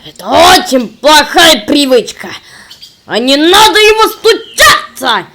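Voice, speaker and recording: A woman's voice: high voice, sing-song, slow, sharp tone, heavily acted. A woman voicing a fussy cartoon character who preaches good manners in an emphatic, admonishing way. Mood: indignant, scolding. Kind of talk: children's cartoon acting.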